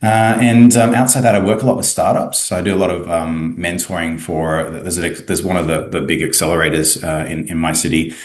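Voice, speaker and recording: A man in his thirties with an Australian accent, a guest in a casual interview, talking haltingly with many fillers and restarts. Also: deep voice, fairly even intonation, reverberant. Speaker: guest, academic and author.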